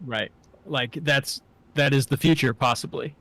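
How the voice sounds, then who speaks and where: A man's voice: medium pitch, fairly even intonation, a little slow, a bit bright. A man co-hosting a casual discussion, tentative and conversational, often checking in as he makes a point. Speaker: podcast co-host.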